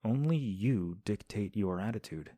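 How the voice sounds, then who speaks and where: An actor playing a composed young male prince who gives counsel in a measured, formal way, recorded at home. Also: fairly low pitch, lively intonation, dark tone, no room sound. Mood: calm. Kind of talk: audio drama acting.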